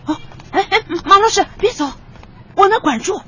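A woman's voice: very high voice, expressive, normal speed, bright, forceful tone, slight background noise, exaggerated voice. An actress playing a persistent, doting middle-aged mother, fussy and eager, in a radio drama. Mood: coaxing. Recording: slightly dull audio, music behind.

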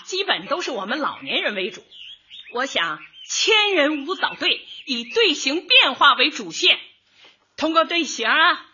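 An actress playing a cheerful, earnest older housewife and community organizer in a light comedy radio drama, chatty and expressive. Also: female voice, high voice, muffled recording, exaggerated voice. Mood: enthusiastic presenting.

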